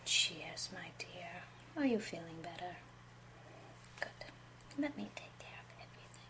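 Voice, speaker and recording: A woman playing a genteel, confiding love-potion witch in an ASMR roleplay, speaking gently in a breathy half-whisper. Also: fairly low pitch, expressive, pitched below the performer's own voice. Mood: intimate, confiding. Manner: slow, gentle, unhurried, confiding. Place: small home room, indoors.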